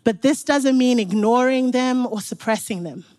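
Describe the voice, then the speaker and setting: A woman's voice: a little high, moderate pace, a little mellow. Woman from Zimbabwe preaching earnestly. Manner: earnest, encouraging, direct to the congregation. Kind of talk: sermon.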